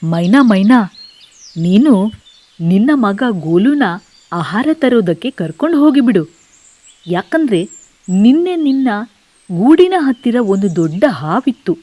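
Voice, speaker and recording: A sly male crow from a children's cartoon bedtime story, acted out by a woman. The voice swings widely in pitch and has a mellow, dry sound. He schemes and wheedles with exaggerated delivery. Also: very animated, a little slow, pauses often, no room sound. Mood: wheedling. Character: scheming male crow after the myna's house.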